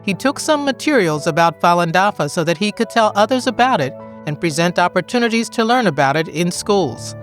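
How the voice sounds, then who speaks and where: A woman reading scripted news in a measured, formal manner. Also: on the low side, expressive, over music. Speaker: news reader.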